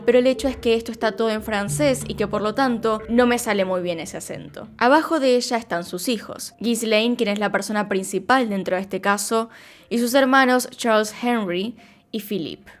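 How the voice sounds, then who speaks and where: A woman narrating a true crime story in a calm, scripted way, speaking directly to her viewers. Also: fairly high pitch. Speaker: true crime channel host.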